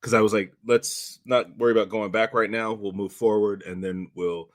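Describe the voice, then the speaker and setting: Guy w lowish voice, halting casual talk, keeps repeating himself, very dry sound. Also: steady tone, dry recording. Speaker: director and podcast host. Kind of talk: casual behind-the-scenes chat.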